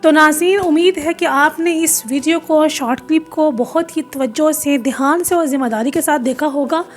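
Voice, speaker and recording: A woman's voice: high-pitched, normal speed, soft background music. A woman hosting a reaction video, addressing viewers with courteous, devout greetings and formal phrasing.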